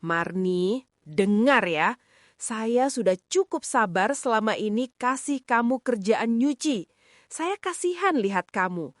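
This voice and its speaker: A woman's voice: mid-range voice, dry recording, clean studio sound, close to an everyday voice. A woman playing a stern village official's wife in a cartoon drama, accusing and scolding.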